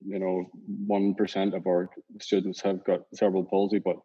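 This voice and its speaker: Middle-aged Northern Irish man, founder being interviewed, lowish voice, rambling and easygoing, dull lo-fi sound. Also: moderate pace. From Northern Ireland. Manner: relaxed, rambling, many fillers and restarts. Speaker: company founder and CEO, guest. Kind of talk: casual interview talk. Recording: dull lo-fi audio.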